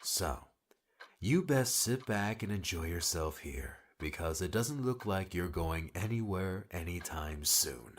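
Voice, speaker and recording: A man voicing a weary, brusque rescuer with a secret caring side and a husky voice, in a dramatic audiobook. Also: low voice, lively intonation, measured pace. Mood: sardonic, stern. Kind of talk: dramatic audiobook character dialogue.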